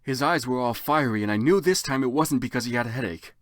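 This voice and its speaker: A man's voice: mid-range voice, quick pace, a bit bright, no room sound, clean studio sound. A man narrating a story theatrically for comedy, with sardonic asides as commentator. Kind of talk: dramatic comic reading with dry asides.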